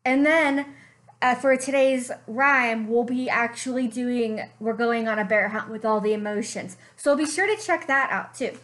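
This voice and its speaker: A young woman reading a picture book aloud for children, slow and expressive with playful sound effects, recorded on a webcam in a small room at home. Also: a little high. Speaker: children's librarian. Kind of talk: storytime read-aloud.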